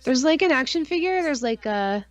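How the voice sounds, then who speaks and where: A woman with a somewhat high voice chats as a livestream co-host. Her delivery is informal and enthusiastic, like loose talk among fans. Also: expressive, moderate pace, no room sound. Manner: informal, enthusiastic, frequent swearing. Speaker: podcast co-host. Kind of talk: casual livestream chat.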